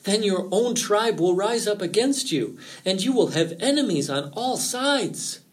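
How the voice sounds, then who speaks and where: Sly folktale fox, played by a man: raised, very animated pitch, feigned concern, drawn-out pleading. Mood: urgent, grave. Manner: theatrical, drawn-out pleading and warnings. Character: sly male fox feigning worry, mock-pleading, warning.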